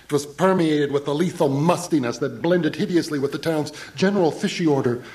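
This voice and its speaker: An actor playing an anxious, haunted traveller, narrating a horror radio drama in literary, ominous phrases. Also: male voice, on the high side, a little mellow, lightly acted. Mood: uneasy dread. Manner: literary, measured, ominous, urgent under stress. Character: anxious, haunted traveller recounting his ordeal.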